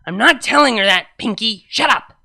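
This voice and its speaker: Narrator doing a drunk girl party pony, loud n slangy, kinda slurred, bright voice. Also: mid-range voice, expressive, moderate pace, vocal fry, pitched above the performer's own voice, heavily acted. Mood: sheepish, annoyed. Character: brash drunken female party pony, rowdy and foul-mouthed.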